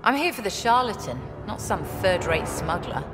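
An actress playing a hard, contemptuous outlaw gang boss in a game, scornful and commanding. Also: female voice, lowish voice, sing-song, normal speed, big-room sound, music in the background. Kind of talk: cinematic game dialogue acting.

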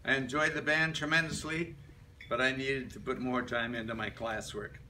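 An elderly man reads his reminiscences to a small gathering. His voice is bright and forward, and he moves haltingly from phrase to phrase. He is picked up from a few metres away in a meeting room, with faint noise around him. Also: medium pitch, steady tone. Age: older, about 70s to 80s. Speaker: club member giving a life-story speech. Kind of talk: reading a prepared reminiscence aloud to a group. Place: medium-sized indoor meeting room. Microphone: camera a few metres away. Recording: distant room mic with background noise.

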